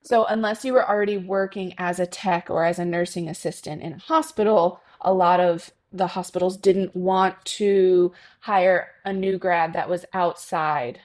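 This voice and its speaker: A woman from Connecticut who works as a nurse, talking as a guest in a loose, chatty way. She fills her sentences with ums and likes. Her voice sits a little low. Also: steady tone, normal speed, hardly any pauses, darkish tone. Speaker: NICU nurse, podcast guest. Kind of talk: conversational interview.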